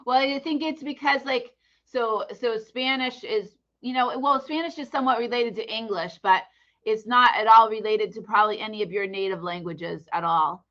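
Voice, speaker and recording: American woman teacher, easygoing and halting, bright voice, muffled lo-fi call sound. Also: on the high side. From United States. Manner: relaxed, hesitant with many fillers. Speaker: English teacher. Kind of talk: casual online conversation.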